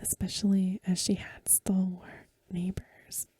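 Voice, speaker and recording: A woman softly whispering a story for ASMR in a breathy voice, with gentle, careful phrasing, close to the microphone. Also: on the low side, completely flat delivery, measured pace, no echo. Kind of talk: soft-spoken ASMR story reading.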